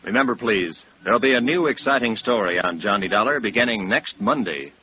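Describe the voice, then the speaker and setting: A man's voice: on the low side, lively intonation, fairly quick, crowd noise. A man reading scripted credits as a radio announcer in 1950s broadcast style. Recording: muffled vintage radio with music. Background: music.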